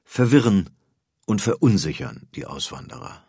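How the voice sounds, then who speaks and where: A man's voice: deep voice, sing-song, moderate pace, vocal fry, mellow, husky, no room sound, studio quality. A man reads scripted documentary narration as a narrator, in pronunciation.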